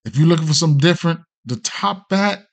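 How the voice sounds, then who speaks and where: A man's voice: a little high, animated, quick pace, creaky. A middle-aged American man hosting a casual betting livestream, rambling off the cuff with plenty of slang and swearing. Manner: slangy, profane, hesitant and rambling. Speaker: sports betting show host.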